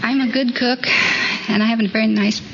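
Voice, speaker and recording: A woman's voice: fairly high pitch, fairly even intonation, a little fast. A woman contestant giving self-assured, matter-of-fact answers in an old radio quiz interview. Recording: muffled, noisy 1940s radio broadcast.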